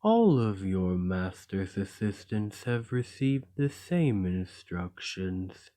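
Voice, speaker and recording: A man voicing a gentle, lonely golem in an ASMR roleplay, speaking in a formal, measured and courteous way. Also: deep voice, sing-song, exaggerated voice. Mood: measured, coaxing.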